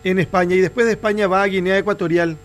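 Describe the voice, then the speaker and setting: A man with a Paraguayan accent hosting a conversational interview, feeling his way through halting questions. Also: high voice, steady tone. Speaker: interviewer, radio host.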